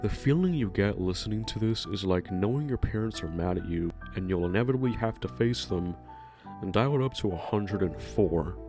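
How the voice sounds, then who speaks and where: A man's voice: on the low side, expressive, normal speed, over music. A man narrating a ranking video in a scripted, enthusiastic way, swearing casually. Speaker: video host.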